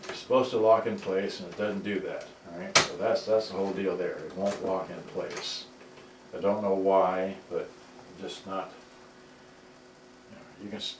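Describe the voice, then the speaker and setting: A man giving a casual, unscripted demonstration, talking himself through it step by step in a halting way. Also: lowish voice, noisy background. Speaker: repairer demonstrating serviced cassette players. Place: indoors in a house. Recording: roomy, distant mic, loud music behind.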